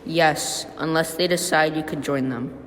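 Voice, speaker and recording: Low, grave prisoner, played by a young woman, amateur radio play in a reverberant room. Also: fairly even intonation, a little slow. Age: young adult. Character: grave fellow prisoner counting the days. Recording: noisy hall with long reverb.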